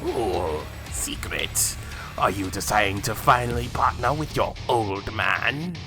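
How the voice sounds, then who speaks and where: A man's voice: on the low side, lively intonation, slow pace, music in the background. A man playing a sadistic alien villain in an anime fan drama, taunting and theatrical with menace. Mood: mocking. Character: sadistic alien villain with vengeful grudge, possessing a father's body. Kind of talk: anime fan-fiction voice acting.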